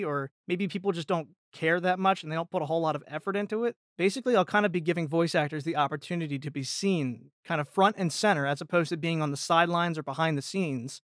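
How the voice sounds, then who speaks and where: A man with a fairly high voice chats casually as a podcast guest. His talk is informal and full of "like" and "you know", and the sound is close and dry. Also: fairly bright tone, no room sound.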